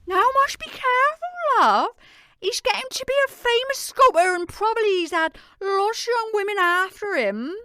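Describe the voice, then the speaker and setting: A woman's voice: very high voice, very animated, moderate pace, pushed and bright, no echo, exaggerated voice. A woman playing a middle-aged fishwife in an audio drama, a protective, scolding, motherly rustic. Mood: cautioning.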